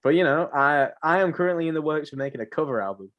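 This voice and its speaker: A man's voice: on the high side, lively intonation, quick pace, highs cut off. A young man co-hosting a podcast in casual banter, joking and rambling, with slangy phrases he often repeats. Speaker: podcast co-host.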